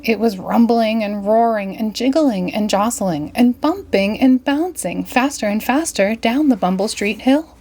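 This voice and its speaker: A woman reading a children's picture book aloud in an expressive, dramatic storytelling style. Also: fairly high pitch, a little slow. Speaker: storybook reader.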